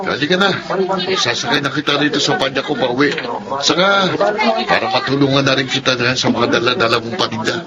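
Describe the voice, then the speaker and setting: A helpful young pedicab driver in a Filipino radio drama, acted by a man. He talks eagerly and casually in a middle-pitched voice, with a loud crowd around him. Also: a little fast, muffled recording, close to an everyday voice. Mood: friendly, eager. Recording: loud street crowd behind.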